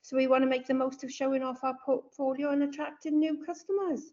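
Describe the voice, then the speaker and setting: A woman's voice: high-pitched, fairly even intonation, brisk, a little mellow. A woman introducing her business on a video call, upbeat. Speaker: meeting attendee, business owner. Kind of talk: self-introduction. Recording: dull, distant call audio.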